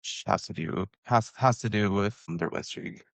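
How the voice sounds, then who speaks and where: A man's voice: on the low side, moderate pace, gravelly, no room sound. A man from Washington co-hosting a casual basketball podcast, laid-back and hesitant with lots of fillers. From Washington, United States. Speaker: podcast co-host. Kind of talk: casual sports podcast talk.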